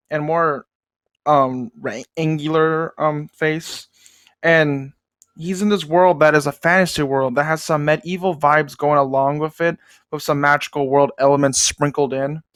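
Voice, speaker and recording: A man's voice: fairly high pitch, a bit bright, clean tone, no room sound, studio quality. A young man hosting a casual comedic anime commentary, rambling with self-deprecating jokes muttered under his breath. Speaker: host.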